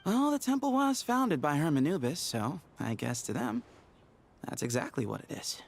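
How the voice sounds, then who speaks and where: Casting: composed young desert guardian for a game, lively storyteller, some gravel. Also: male voice, on the high side, normal speed, exaggerated voice. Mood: friendly, relaxed. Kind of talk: video game voice acting.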